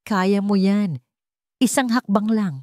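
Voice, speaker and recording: A woman voicing a gentle, loving young housemaid in a story, soft-spoken and encouraging as she coaxes a small child. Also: mid-range voice, takes it slowly, no room sound, clean studio sound, natural voice. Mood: loving encouragement.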